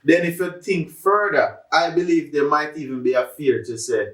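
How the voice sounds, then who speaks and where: A man's voice: a little high, normal speed. Echoey, distant Jamaican man, inquisitive podcaster. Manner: questioning, interjecting. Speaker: podcast host. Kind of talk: casual discussion. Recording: echoey room, distant from mic.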